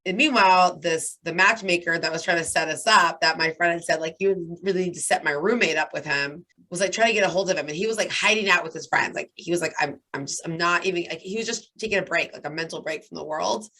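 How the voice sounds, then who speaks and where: A hoarse woman in her thirties with a raspy voice, chatting as a guest and telling a long story with lots of "like" and "right?". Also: on the low side, quick pace, hardly any pauses, pushed and bright. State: hoarse from talking nonstop. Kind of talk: casual interview chat.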